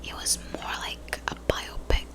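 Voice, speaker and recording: A woman whispering softly and breathily in a casual, rambling ASMR chat. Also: measured pace. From Brazil. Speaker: ASMR video creator.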